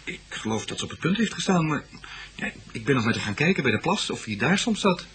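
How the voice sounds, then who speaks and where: A man's voice: mid-range voice, very animated, fast, fairly bright tone. An actor playing a young male student in a Dutch radio police drama, explaining things in a hesitant, informal, faltering way. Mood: hesitant, chatty.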